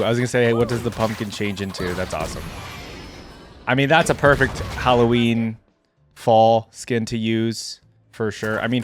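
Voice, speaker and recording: A young man giving casual, laid-back reaction commentary, thinking aloud as host. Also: medium pitch.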